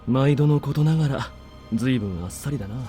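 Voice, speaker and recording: Mellow, quick-talking military major, acted by a man. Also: mid-range voice, lightly acted. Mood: teasing. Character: laid-back military major, a wry adult man. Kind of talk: Japanese visual novel voice acting. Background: game background music.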